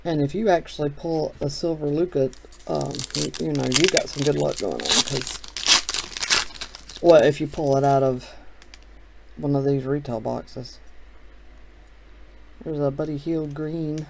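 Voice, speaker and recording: A middle-aged man hosting a casual, relaxed chat, thinking aloud as he opens packs of cards. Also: fairly high pitch, measured pace, gravelly, pauses often, slight background noise, rough recording. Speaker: card shop host. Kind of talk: casual chat while opening card packs.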